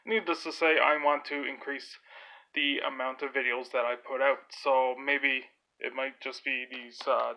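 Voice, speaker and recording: A man's voice: a little high, lo-fi sound. A man with a stuffed-up nose, casually talking through a hockey card pack opening, with lots of uh fillers. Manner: rambling, lots of "uh" fillers, naming cards as he goes.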